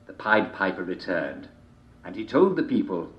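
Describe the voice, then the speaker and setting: A man telling a dark fairy tale in a theatrical, deliberate manner, with repeated phrases and dramatic pauses. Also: low voice, very animated. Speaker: storyteller reading a folk tale. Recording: dull, roomy, echoing home recording.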